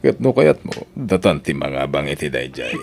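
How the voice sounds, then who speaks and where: A man's voice: a bass voice, big pitch swings, normal speed, gravelly, a little mellow, slight background noise, exaggerated voice. A man playing an affectionate middle-aged father, tender and emotive, in Ilocano audio drama style. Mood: easygoing.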